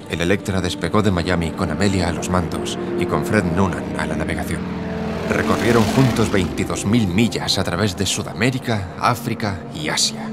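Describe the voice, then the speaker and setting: Actor as a low-voiced devoted husband. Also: male voice, natural voice. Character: devoted, impatient husband and manager of an aviator. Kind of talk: audio drama acting and narration.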